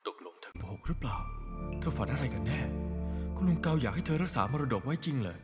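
A man's voice: mid-range voice, big pitch swings, quick pace, loud music behind the voice, natural voice. An actor playing a concerned younger man in a dubbed TV melodrama, coaxing and reasoning with someone. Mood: doubting.

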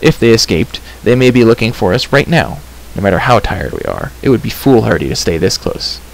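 A man's voice: fairly low pitch, sing-song, a little fast, full character voice, rough recording. A man playing an earnest, impatient young hero in a storybook, speaking bluntly and with command. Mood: wary. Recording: clear background hiss.

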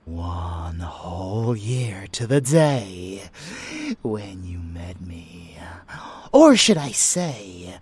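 A man acting as an unhinged, seductive male vampire lover in an intimate ASMR audio roleplay, breathy and often whispering, with teasing, menacing affection. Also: deep voice, a little mellow, exaggerated voice.

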